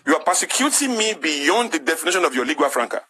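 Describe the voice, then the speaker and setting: A man's voice: on the high side, sing-song, fast, pushed and bright, slight background noise. A man with a Nigerian English accent making an impassioned talk-show argument, emphatic and rhetorical, repeating his key phrases. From southwest Nigeria.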